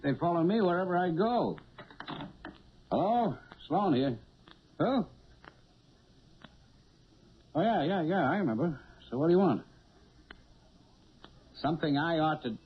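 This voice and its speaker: A man's voice: fairly high pitch, animated, normal speed, long pauses, slightly rough. An actor playing a brusque, slippery male narcotics cop in a 1970s radio drama. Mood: impatient, brusque. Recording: dull old radio broadcast.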